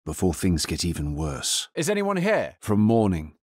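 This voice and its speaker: Deep, husky, grim necromancer. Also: male voice, wildly swooping pitch, moderate pace, a little mellow, no room sound, clean studio sound, full character voice. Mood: urgent, determined. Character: grim, resolute male necromancer of dark fantasy. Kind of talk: dramatic video game character lines.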